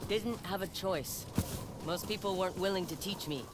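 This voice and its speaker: A woman's voice: lowish voice, normal speed, background noise, close to an everyday voice. An actress playing a tough, self-taught young female wanderer and warrior in a video game, speaking in a terse, dry, guarded way. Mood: guarded, resolute. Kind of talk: dramatic video game acting.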